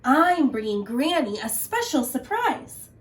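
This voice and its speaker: A woman voicing a sweet, curious young girl from a children's storybook, with drawn-out wonder in her words. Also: high voice, sing-song, pitched above the performer's own voice. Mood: cheerful. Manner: bright exclamations, careful drawn-out wonder.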